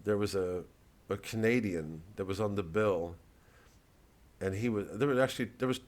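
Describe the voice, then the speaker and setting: A man with an American accent and a lowish voice delivers a casual comedian's monologue. He wanders from one aside to the next and swears freely, as if chatting straight to his followers. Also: normal speed, darkish tone. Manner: rambling, digressive, profane. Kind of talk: casual vlog monologue to fans.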